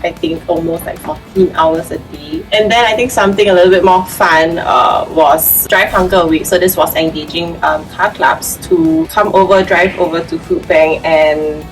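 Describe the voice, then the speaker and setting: A woman's voice: on the low side, fairly even intonation, moderate pace, hardly any pauses. A woman who works at a food bank, reminiscing casually in an interview. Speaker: food bank staff member. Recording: slightly distant mic under background music. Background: background music.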